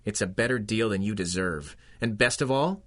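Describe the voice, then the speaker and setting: A man's voice: fairly low pitch, moderate pace, natural voice. Man playing an earnest, defiant young police constable. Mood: firm, commanding. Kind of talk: 1930s radio drama acting.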